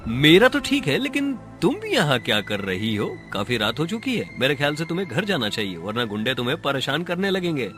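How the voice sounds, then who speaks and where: A brave, protective working man with a big-brotherly manner , played by a man in a cartoon story. He speaks earnestly and solicitously at a raised pitch, with music clearly behind him. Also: very animated, fairly quick, hardly any pauses, a bit bright. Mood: concerned. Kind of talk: Hindi animated story dialogue.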